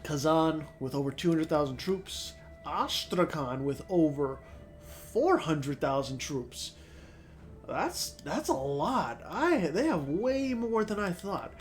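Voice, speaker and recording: A man's voice: a little high, big pitch swings, takes it slowly, soft background music. A man with a sore throat and a stuffy nose, rambling casually as he comments on a strategy game, thinking aloud with lots of fillers. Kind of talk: casual let's-play commentary.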